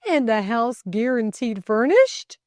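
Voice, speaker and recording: A woman plays an imperious storybook gentleman with big, swooping delivery. He is pompous and bantering, drawing out his words in a slow, theatrical way. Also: medium pitch, expressive, no echo, studio quality, close to an everyday voice. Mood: indignant. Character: imperious, teasing, theatrical gentleman master of the house.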